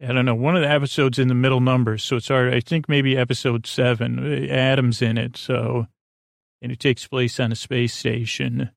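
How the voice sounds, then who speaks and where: A man hosting a sleep podcast with a meandering, goofy, halting monologue that drifts into tangents. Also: mid-range voice, flat delivery, vocal fry, no echo, studio quality. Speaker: podcast host. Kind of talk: rambling bedtime monologue introducing a sleep podcast.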